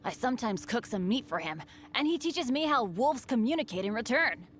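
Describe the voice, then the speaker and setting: A woman's voice: on the high side, very animated, brisk, soft background music. A woman voicing a cheerful, unlucky teenage boy adventurer in a video game, chatty, earnest and self-deprecating. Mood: friendly, chatty. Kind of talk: video game character voice lines.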